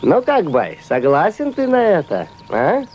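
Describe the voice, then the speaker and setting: A man's voice: fairly high pitch, huge pitch swings, measured pace, lo-fi sound, a little hiss or hum. A man voicing a sly, cheerful folk-hero trickster in a cartoon, coaxing in a sing-song way with feigned innocence. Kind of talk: animated film voice acting.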